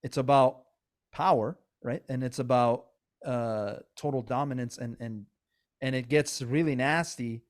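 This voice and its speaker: A man's voice: mid-range voice, a little slow. A man with a Persian accent, co-hosting a podcast in casual, earnest talk full of restarts and asides. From Iran. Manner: earnest, rambling, many restarts. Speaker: podcast co-host. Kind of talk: casual political chat.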